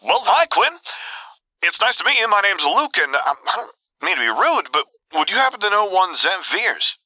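A man voicing a calm, polite and friendly young man in an audio story. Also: mid-range voice, fast talker, dry recording, voice pushed up, low-quality recording. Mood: polite, pleasant.

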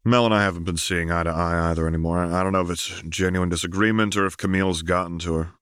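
A man performs a tired, troubled councillor around forty in an audiobook drama. He sounds strained and earnest, defending himself in a low voice with a dry, close sound. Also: fairly even intonation, fast talker, fairly bright tone, clean tone, no echo. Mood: defensive, frustrated. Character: weary, anguished man of about forty, guarded inventor-councillor.

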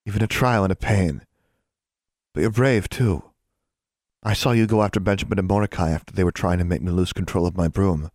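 Man voicing a soft, shy schoolgirl witch. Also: deep voice, fairly quick, no room sound, studio quality. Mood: gentle. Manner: soft, earnest.

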